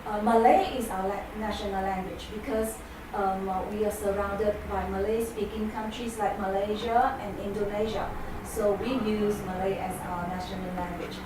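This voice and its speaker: A woman's voice: mid-range voice, steady tone, normal speed. A Singaporean woman whose first language is Chinese, giving a talk to an audience in English, with many hesitant fillers. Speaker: guest speaker. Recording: echoey hall from far off, noisy.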